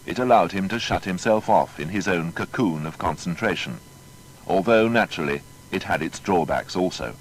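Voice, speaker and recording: A man narrating a scripted dramatised biography in a formal, measured storytelling manner. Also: low voice, expressive, a little hiss or hum, low-quality recording.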